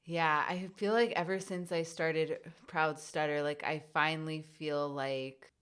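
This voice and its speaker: A woman's voice: deep voice, steady tone, a little slow, fairly bright tone, smooth. A woman hosting a podcast, stuttering at times and filling her speech with many "like"s in a casual conversation. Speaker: podcast host.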